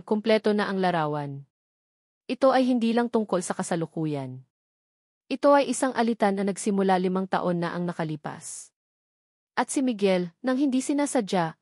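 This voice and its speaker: A woman's voice: mid-range voice, a little mellow, no echo, clean studio sound. A woman reading scripted dramatic narration as the storyteller of an audio drama.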